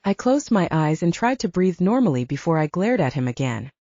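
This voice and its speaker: A woman narrating an audiobook with a dramatic, expressive delivery and a lively pitch. Her voice is low and mellow. The recording is dry and has a dull, muffled tone. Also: brisk, dry recording. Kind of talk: first-person audiobook narration.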